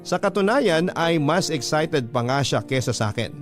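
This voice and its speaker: A man reading a scripted first-person letter as a radio drama narrator, in a measured storytelling manner. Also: on the high side, expressive, soft background music.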